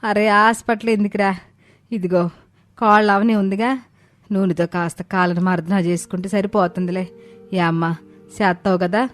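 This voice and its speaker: A woman's voice: mid-range voice, fairly even intonation, moderate pace, long pauses, soft background music, lightly acted. Woman playing a nagging, stingy older mother-in-law. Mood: wheedling. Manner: nagging, sharp, accusatory. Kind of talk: dramatised moral story acting.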